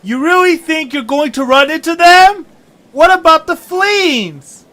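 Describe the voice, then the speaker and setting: Man doing a polite, hesitant young cartoon adventurer, raised pitch. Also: lively intonation, a little slow, pushed and bright, full character voice. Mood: earnest. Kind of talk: amateur audio drama acting.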